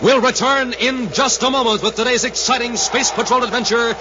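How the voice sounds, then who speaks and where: An American man announcing and pitching in a dramatic, emphatic 1950s radio style. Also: fairly even intonation, a little fast. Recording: dull, faintly noisy 1950s radio recording.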